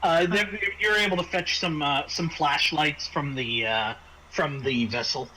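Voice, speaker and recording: Male game master, higher-pitched, bright forceful tone, halting improvised narration, faint noise. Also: poor audio. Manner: hesitant, halting phrasing with repeated words.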